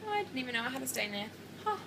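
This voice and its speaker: A woman's voice: high-pitched, sing-song, very fast, creaky, background noise. A woman, chatting casually to camera about her pregnancy in a rambling way with lots of ums and likes. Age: 20s. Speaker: pregnancy vlogger.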